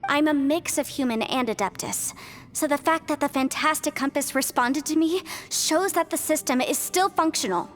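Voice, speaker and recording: A woman's voice: sits high, moderate pace, a little hiss or hum, close to an everyday voice. A woman playing an earnest, determined young half-immortal heroine in a video game, explaining and encouraging. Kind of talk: video game story acting.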